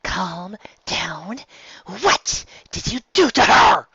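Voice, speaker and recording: A man's voice: sits high, animated, drawn-out words, bright, forceful tone, slightly rough, dry recording, voice pushed up, full character voice. A man voicing a furious, protective female pony in a storybook reading, demanding and exclamatory, on a lo-fi recording. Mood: demanding, alarmed. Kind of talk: acted storybook dialogue.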